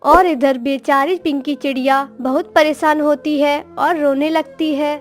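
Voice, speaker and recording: A woman's voice: high voice, fairly even intonation, measured pace, soft background music. A woman narrator reading a scripted moral story for a cartoon, in storytelling style.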